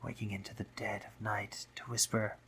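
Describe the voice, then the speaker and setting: A man playing a teasing, dominant, courtly spider monster in an intimate audio roleplay, theatrical and breathy, often dropping into whispers. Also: on the low side, completely flat delivery, normal speed, pushed and bright, heavily acted. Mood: seductive teasing. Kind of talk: intimate scripted audio roleplay, addressing the listener.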